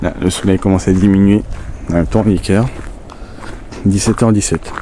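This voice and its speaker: A man's voice: low-pitched, brisk, slight background noise. Man with a stuffy nose, chatting outdoors. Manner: chatty, relaxed, rambling asides. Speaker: vlogger on a nature walk. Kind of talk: casual walking vlog to camera. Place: outdoors in the countryside.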